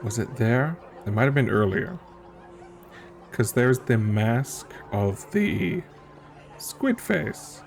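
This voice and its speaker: Creaky male streamer, casual playthrough commentary, unhurried, musing, faint music underneath. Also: medium pitch, animated. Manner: musing, thinking aloud.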